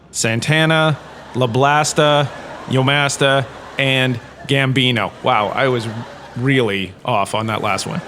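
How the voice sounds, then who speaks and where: A man's voice: mid-range voice, a bit bright, slight background noise, lightly acted. A man playing a vain, bumbling washed-up star turned history lecturer in a comedic audio drama, with a theatrical, rambling, self-important delivery. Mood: pompous, grudging, then amused, formal.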